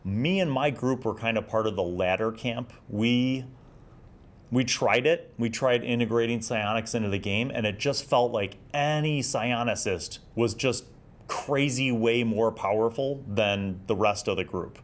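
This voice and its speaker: A man in his forties giving a casual, nostalgic review in a conversational, halting way. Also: mid-range voice. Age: 40s. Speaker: host of a gaming magazine review series.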